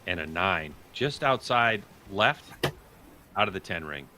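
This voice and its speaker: A man's voice: sits low, lively intonation, a little slow. A man hosting a live sports watch party, commentating on the action as it happens. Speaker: host and commentator. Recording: noticeable background noise.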